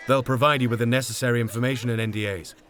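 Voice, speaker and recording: Man acting a forceful, stern mission commander. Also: medium pitch, steady tone, racing, a faint crowd, close to an everyday voice. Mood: stern, resigned. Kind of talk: audio drama acting.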